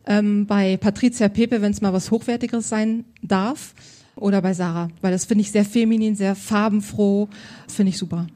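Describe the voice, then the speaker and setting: A woman's voice: medium pitch, fairly even intonation, normal speed, dark tone. A woman working as a style consultant, answering interview questions in an enthusiastic, colloquial way.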